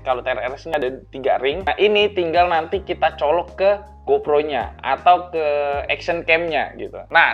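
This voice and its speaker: A man explains things to viewers as a vlogger, in an informal, chatty, step-by-step way. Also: on the high side. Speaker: vlogger, tech reviewer. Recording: dull lo-fi sound under music.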